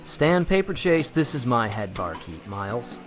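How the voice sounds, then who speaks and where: An actor playing a wary, composed speakeasy owner in an old-time radio drama, clipped and polite. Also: male voice, mid-range voice, very animated, measured pace, soft background music.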